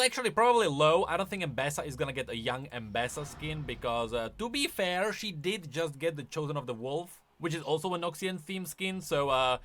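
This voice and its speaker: A man's voice: a little high, a bit bright. A man giving semi-scripted explainer commentary on video, emphatic and with wry asides. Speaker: video essayist on game lore.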